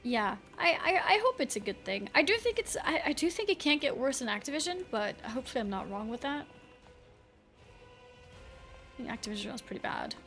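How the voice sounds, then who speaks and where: A woman's voice: sits high, a little fast. Swedish streamer woman, American-leaning English. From Sweden. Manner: relaxed, rambling, thinks aloud. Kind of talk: casual chat with viewers while gaming. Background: game music.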